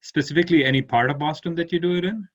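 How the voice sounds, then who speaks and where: A man hosting a casual interview, asking quick follow-up questions with a joking tone. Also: on the high side. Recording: dull lo-fi sound.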